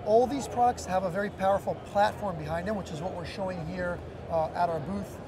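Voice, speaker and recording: A man's voice: sits high, brisk, a crowd in the background. A man in his thirties explaining a product as an executive being interviewed at a busy trade show booth. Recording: loud busy trade show floor noise.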